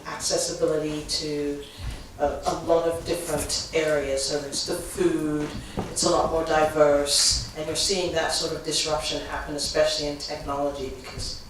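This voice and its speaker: A woman on a discussion panel who calls her own voice loud and talks her ideas through in a reflective way. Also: low-pitched, slight background noise. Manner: loud voice, reflective, light laughs. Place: tech event space indoors. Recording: echoey room, distant from mic.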